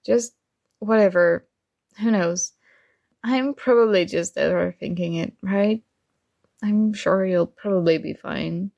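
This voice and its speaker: A woman playing a secretly jealous, teasing roommate in an intimate one-sided ASMR roleplay, with hesitant pauses. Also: mid-range voice, gravelly, darkish tone, highs cut off. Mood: resigned, hiding hurt. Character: secretly jealous female roommate, teasing, hurt but feigning indifference.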